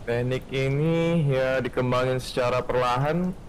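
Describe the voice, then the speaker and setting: A man's voice: medium pitch, fairly even intonation, normal speed, slight background noise, natural voice, rough recording. An Indonesian man voicing a confident, demanding dragon mentor in an amateur game roleplay. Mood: instructive, confident. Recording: music and rain-like sound behind.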